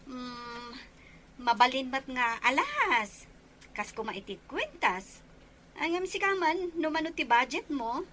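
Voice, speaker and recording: A woman's voice: sits high, animated, takes it slowly, bright, forceful tone, exaggerated voice. A woman playing a helpful, breezy friend of a young couple in an Ilocano audio drama. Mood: thoughtful. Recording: faint background noise.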